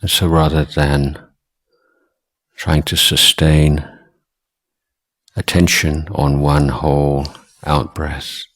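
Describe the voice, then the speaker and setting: A man with a husky voice giving guided meditation instruction as a teacher, speaking in short, deliberate phrases with long pauses. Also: a bass voice, a bit bright. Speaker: Buddhist monk, meditation teacher.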